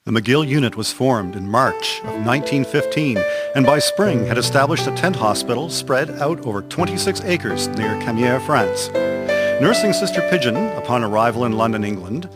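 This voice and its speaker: A man narrating a scripted historical radio drama in a formal, measured storytelling manner. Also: mid-range voice. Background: prominent plucked guitar music.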